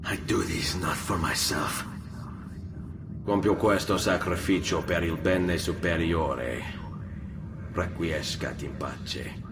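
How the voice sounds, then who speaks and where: An actor playing an earnest, brooding young assassin in a video game, speaking gravely with an Italian accent. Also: male voice, deep voice, noisy background. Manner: grave, measured, peppered with Italian words. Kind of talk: dramatic video game acting. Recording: reverberant, distant, with music behind.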